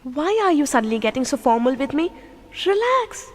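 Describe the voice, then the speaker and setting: A spirited, independent young woman with an Indian English accent, played by an actress in an audio drama. Her voice is high and lively, and she speaks directly. Long reverb and faint music surround her. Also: normal speed. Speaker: young woman in a radio play.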